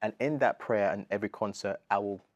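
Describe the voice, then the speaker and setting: Gospel artist guest, British man around thirty, low-voiced and animated, dry close sound. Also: brisk, no echo, highs cut off. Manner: earnest, animated, slangy, says bro a lot. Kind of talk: casual interview chat.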